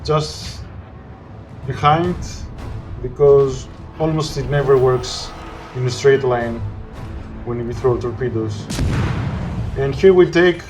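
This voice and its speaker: A man with a Greek accent narrates a game match in unhurried, careful English, stopping often to search for words. His voice sits in an ordinary middle pitch. Faint game music plays under his commentary. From Greece. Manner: halting, searching for words with frequent "uh". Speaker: gameplay commentator. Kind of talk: gameplay commentary.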